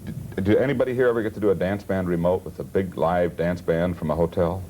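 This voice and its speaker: A man with a low, mellow voice hosts a talk show in a folksy, joking way. He introduces things casually, and the old TV broadcast sound has a faint hiss. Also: fast talker. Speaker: television talk show host. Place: television studio. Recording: hissy 1970s TV broadcast audio.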